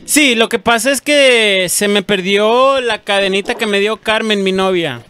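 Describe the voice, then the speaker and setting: A man's voice: high-pitched, measured pace, sharp tone. A man hosting a comedy prank show with joking banter and a cocky, mocking manner into a close handheld mic. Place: small studio room. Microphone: handheld mic close to the mouth.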